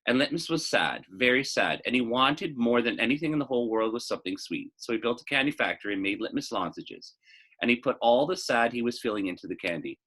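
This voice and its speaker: A man's voice: mid-range voice, expressive, fast, fairly bright tone, poor audio. A man reading the part of an earnest, eager, stubborn young girl in a storybook, plain-spoken and insistent.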